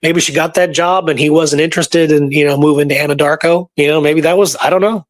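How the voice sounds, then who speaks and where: Guy with a slightly high voice telling history casually, kinda rambles and thinks out loud as he hosts. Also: fairly even intonation, quick pace. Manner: rambling, informal, thinking aloud. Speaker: show host presenting researched history. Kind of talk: casual history storytelling, partly reading from notes.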